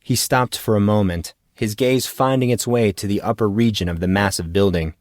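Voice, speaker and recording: A man's voice: lowish voice, normal speed, a little mellow, no room sound, studio quality. A man reading fiction aloud as a scripted audiobook narrator.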